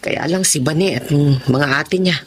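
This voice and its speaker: An actress playing a patient, kind middle-aged mother who talks gently and turns firm when she scolds, in a radio drama. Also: low-pitched, measured pace, slight background noise. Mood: gentle. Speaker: mother in the family.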